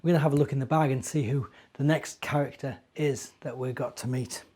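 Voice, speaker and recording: A man's voice: medium pitch, fairly even intonation, brisk, warm tone, slightly rough. A middle-aged man with a British accent, a minister telling stories to young children in a chatty way, with simple explanations and questions. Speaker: church minister and storyteller.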